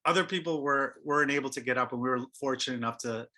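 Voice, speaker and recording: A man's voice: medium pitch, fast, sharp tone. A man hosting a casual podcast interview, chatty and asking probing questions. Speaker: podcast host.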